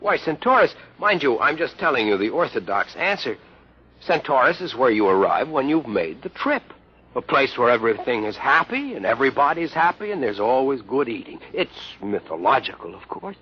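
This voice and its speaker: An actor playing an earnest, easily alarmed young scientist with orthodox beliefs in an old radio drama, his voice husky and excitable. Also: mid-range voice, normal speed. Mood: earnest, certain. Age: young adult. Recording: muffled old radio recording.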